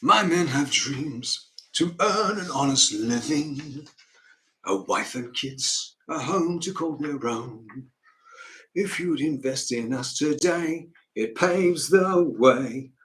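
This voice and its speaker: A man's voice: on the high side, expressive, slow pace, a bit bright. A man playing an honest northern English factory man in a musical, making a heartfelt plea. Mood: earnest, hopeful. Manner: heartfelt, pleading.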